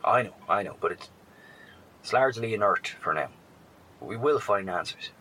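A man playing a wary, kind survivor in a post-apocalyptic horror audio drama, speaking in a hesitant, measured, reassuring way. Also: fairly low pitch, lively intonation, pushed and bright, breathy edge, exaggerated voice, rough recording. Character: wary, kind male survivor scouting the wasteland by radio. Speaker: actor.

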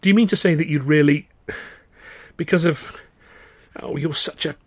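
A man's voice: on the high side, normal speed, no room sound, pitched above the performer's own voice, close to an everyday voice. A man reading an audiobook voices a proud, independent young Edwardian woman with an English accent and a firm manner. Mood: upset. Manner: firm, emphatic.

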